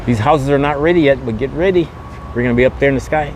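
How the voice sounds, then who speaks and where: A man's voice: mid-range voice, fast, darkish tone, low-quality recording. A man giving a casual walking tour commentary as a vlog host, with repetitive, promotional patter full of catchphrases, recorded outdoors on a busy street. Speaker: vlogger hosting a walking podcast. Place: outdoors on a busy open-air mall street. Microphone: handheld phone camera. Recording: outdoor street noise, wind and traffic.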